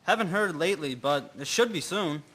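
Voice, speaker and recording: A man's voice: on the high side, expressive, moderate pace, fairly bright tone. A young man playing an airman in a historical audio drama. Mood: chummy. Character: young airman friend, chummy, takes charge under stress.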